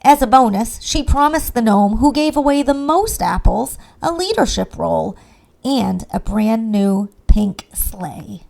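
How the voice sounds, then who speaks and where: A woman's voice: a little high, animated, measured pace, gravelly, a little mellow, rough recording. A woman reading a scripted fairy-tale narration as the storyteller.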